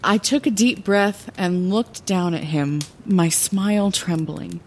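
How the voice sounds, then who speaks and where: A woman's voice: lowish voice, takes it slowly, a little hiss or hum. A woman playing a scatterbrained, insecure but plucky heroine who tells her own story in a fantasy audio drama, often hesitant. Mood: reflective storytelling. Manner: flustered, hesitant, expressive. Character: scatterbrained, insecure, plucky lighthouse keeper and would-be bard.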